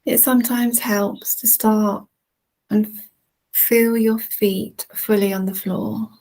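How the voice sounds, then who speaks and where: A woman leading a guided relaxation in a soothing, gentle manner with long, unhurried pauses. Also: on the high side, fairly even intonation, rough recording. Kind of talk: guided relaxation, meditation narration.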